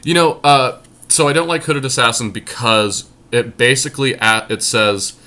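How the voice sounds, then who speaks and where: A man co-hosting a podcast, casually talking through card-game deckbuilding and thinking aloud with hesitant pauses. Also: mid-range voice, bright, forceful tone. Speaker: podcast co-host.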